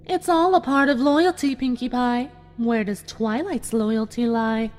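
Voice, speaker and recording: A woman voicing a cruel, smug cartoon unicorn villain who taunts her captives with calm menace in a dramatic audio story. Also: on the high side, unhurried, close to an everyday voice. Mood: taunting. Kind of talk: dramatic fan fiction audio reading. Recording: music behind the voice.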